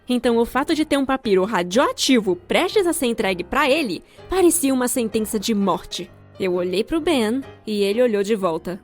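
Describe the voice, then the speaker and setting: A young adult woman with a Brazilian accent giving scripted, comedic storytime narration in a theatrical, wry way. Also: fairly high pitch. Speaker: storyteller, fan-dub voice actor.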